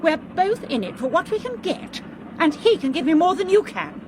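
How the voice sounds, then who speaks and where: Bitter sharp-tongued mistress, very high voice, dramatic swoops, cutting, radio drama. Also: female voice, big pitch swings, moderate pace. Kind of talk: British radio drama acting. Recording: train noise behind the voice.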